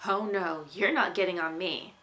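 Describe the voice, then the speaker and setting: A woman's voice: on the low side, normal speed, sharp tone, low-quality recording. A woman voicing a sarcastic, skittish male unicorn from a storybook, with a wry, snappy delivery. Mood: wry, snarky. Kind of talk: storybook character reading.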